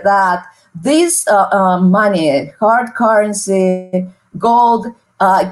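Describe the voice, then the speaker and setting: A middle-aged woman, a native Russian speaker with a Russian accent, speaks as an academic guest explaining her work. Her delivery is slow and hesitant, with pauses as she picks her words. Her pitch sits in the middle range for a woman. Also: darkish tone, poor audio. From Soviet Union. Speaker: guest, history professor and author. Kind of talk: explanatory interview talk.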